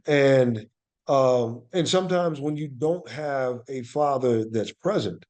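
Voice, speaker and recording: A man's voice: mid-range voice, slow pace, a little mellow. A man answering as an interview guest, speaking in a reflective, conversational way and explaining earnestly with emphatic repetition. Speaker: interview guest, actor and playwright.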